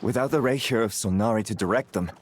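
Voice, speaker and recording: An actor playing a sober, analytical soldier in a video game. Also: male voice, fairly low pitch, fast talker, very noisy, natural voice. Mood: grave.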